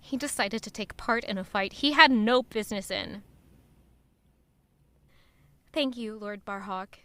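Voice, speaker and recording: A woman's voice: mid-range voice, moderate pace, a bit bright, smooth, natural voice. A woman acting a spirited, guarded young noblewoman and lady-in-waiting, in audio drama dialogue and narration. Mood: bitter.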